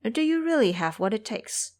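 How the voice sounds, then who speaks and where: A woman's voice: fairly high pitch, sing-song, moderate pace, darkish tone, voice pushed up. A woman voicing a proud, sorrowful captive princess in an audio drama, speaking in an earnest, formal and dramatic way. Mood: doubtful.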